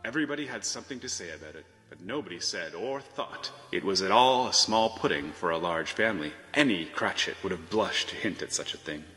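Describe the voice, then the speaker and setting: A man narrating a storybook tale theatrically, with measured phrasing and emphatic swells. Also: low voice, animated, pushed and bright. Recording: reverberant hall sound, music behind.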